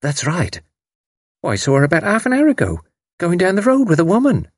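A male narrator puts on a distraught young mother in an audiobook. The voice is lifted high and swoops about in breathless, wailing panic. It is weepy and a little husky, recorded dry. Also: wildly swooping pitch, fast, no room sound, exaggerated voice. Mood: eager, alarmed. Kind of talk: audiobook character voice.

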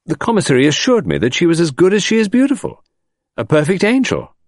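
A man plays an earnest, smitten gentleman from a storybook. He is naive and excitable, his pitch jumping up and swinging freely, in a dry, close recording. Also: moderate pace, no room sound. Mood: smitten, protesting. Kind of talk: audiobook character voice.

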